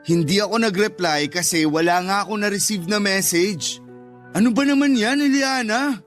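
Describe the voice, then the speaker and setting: A man's voice: high voice, moderate pace, lightly acted. Aggrieved, stubborn Filipino dad, acted by a man. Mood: baffled, upset. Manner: insistent, complaining, conversational. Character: aggrieved, stubborn divorced father standing his ground. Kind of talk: Filipino radio romance drama acting. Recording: music under the voice.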